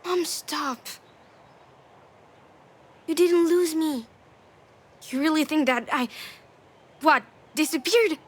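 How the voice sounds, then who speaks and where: A woman voicing a young daughter in a dramatic video game, curious, gentle and consoling, speaking with earnest support. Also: sits high, slow, long pauses. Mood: gentle concern.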